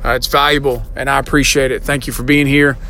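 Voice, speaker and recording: A man with a slightly hoarse voice, hosting a solo motivational podcast, earnest and repeating himself, often asking "right?" and slowing down to stress a point. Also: medium pitch, flat delivery, sharp tone, slight background noise. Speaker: podcast host.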